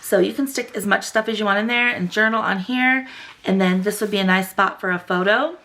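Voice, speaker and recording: A young woman hosting a craft channel, chatty and gushing, her voice still a little rough after a cold. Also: mid-range voice, fairly quick, pushed and bright. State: recovering from a cold, voice slightly rough. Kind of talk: casual tutorial chat.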